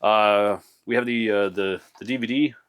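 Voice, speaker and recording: A young man in a casual, rambling show-and-tell about his anime collection, full of "uh" and restarts, a little hoarse from losing his voice. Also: fairly low pitch, steady tone, moderate pace, a little hiss or hum. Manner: rambling, many fillers and restarts.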